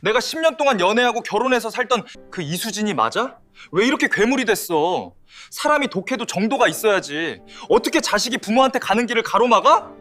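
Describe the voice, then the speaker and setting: A man's voice: high voice, very animated, fairly quick, sharp tone, faint music behind, exaggerated voice. A man voicing an exasperated husband in an audio drama, pleading and accusing. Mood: angry. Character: exasperated husband devoted to his mother.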